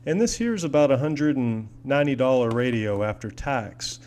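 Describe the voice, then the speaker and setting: A man's voice: mid-range voice, lively intonation, moderate pace, a little mellow. An American man chatting casually as a hobbyist vlogger, rambling along in a folksy, enthusiastic manner. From United States. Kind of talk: casual product chat.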